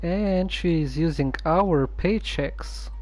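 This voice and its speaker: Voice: medium pitch, darkish tone. A streamer giving casual, musing commentary while playing a story game. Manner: slow, musing.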